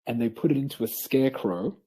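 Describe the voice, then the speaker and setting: A man's voice: medium pitch, animated, fast talker, warm tone, breathy edge. Friendly male interviewer. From Peru, raised in Australia. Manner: friendly, hesitant, many ums and restarts. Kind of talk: casual interview chat.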